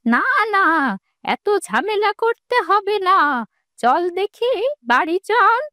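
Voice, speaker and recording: A woman's voice: very high voice, big pitch swings, slow, bright, forceful tone, no room sound, studio quality, exaggerated voice. A woman voicing a worried, scolding old village grandmother in a cartoon fairy tale. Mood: weary, firm. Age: older.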